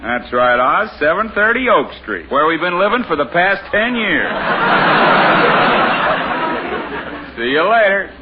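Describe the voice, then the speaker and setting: An American actor playing a hearty, jokey, theatrical neighbor in a 1950s radio comedy. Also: male voice, high voice, big pitch swings, slow pace, pauses often, a crowd in the background, heavily acted. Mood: jovial. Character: hearty, jokey male neighbor, grown man. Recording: muffled, noisy old radio recording.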